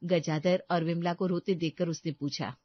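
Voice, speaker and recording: A woman's voice: lowish voice, fairly even intonation, moderate pace, no room sound, muffled recording. A woman narrating a scripted cartoon story as its storyteller.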